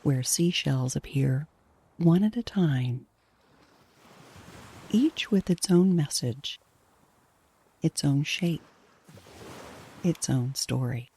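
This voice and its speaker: A woman with a soft, breathy, hushed voice gently and soothingly narrating a guided sleep meditation, unhurried throughout. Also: deep voice, animated.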